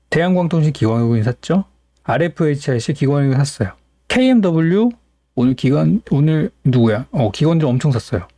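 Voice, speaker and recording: A man's voice: fairly high pitch, warm tone, no echo, studio quality. A man hosting a stock market show, giving informal, opinionated commentary to viewers and often posing rhetorical questions.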